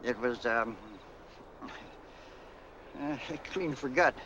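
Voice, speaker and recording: An actor playing a rough, folksy caretaker in a 1940s film, cagey and unhurried, with uneducated, ungrammatical talk. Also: male voice, medium pitch, sharp tone, muffled recording, full character voice. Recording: noisy 1940s film soundtrack.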